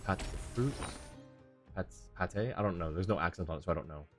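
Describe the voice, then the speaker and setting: Male streamer, low-pitched, casual and thinking aloud during live gameplay, faint game music behind. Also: lively intonation, brisk. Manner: thinks aloud, reading on-screen text and chat. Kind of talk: casual live gaming commentary. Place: indoor live stream setup.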